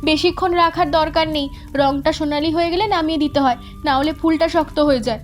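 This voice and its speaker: An actress voicing a sincere young village daughter who loves cooking, in a cartoon. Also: female voice, sits high, steady tone, a little fast, soft background music, natural voice. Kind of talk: cartoon voice acting.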